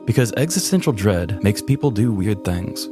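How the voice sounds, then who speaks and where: A man's voice: on the low side, sing-song, normal speed, over music. A man co-hosting a podcast, speaking in the style of a storyteller and casual talker. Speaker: podcast co-host. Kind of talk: scripted true-crime storytelling and casual co-host chat.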